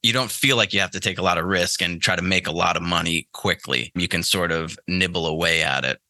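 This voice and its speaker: Deep, very bright-voiced American man. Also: fairly quick, dry recording, clean studio sound. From United States. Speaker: podcast guest, trader. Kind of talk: casual interview talk.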